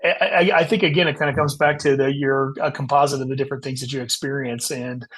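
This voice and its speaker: A man speaking as an author guest in a casual podcast interview, explaining thoughtfully and haltingly as he corrects himself. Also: medium pitch, fairly even intonation, a bit bright, husky.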